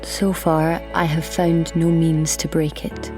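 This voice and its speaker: A woman playing a bitter, defiant immortal goddess held captive, in measured, brooding audio drama acting. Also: low-pitched, flat delivery, music in the background.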